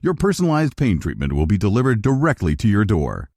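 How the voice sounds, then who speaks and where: A man's voice: on the low side, huge pitch swings, fairly quick, darkish tone, no room sound, studio quality. A man reading a scripted commercial as an advertising announcer.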